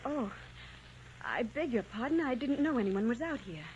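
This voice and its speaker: A romantic, playful young society lady, played by a woman in vintage radio drama. Her voice sits fairly high and moves with great animation. The old recording is dull, with some music. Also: lively intonation, normal speed, darkish tone, slight background noise, close to an everyday voice. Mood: shy, intrigued.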